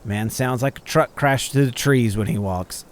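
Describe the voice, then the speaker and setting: A man voicing a breezy, excitable woman who hunts cryptids, reading game dialogue in character. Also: mid-range voice, normal speed, lightly acted. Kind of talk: reading visual novel dialogue aloud in character. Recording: music and chirping night insects behind.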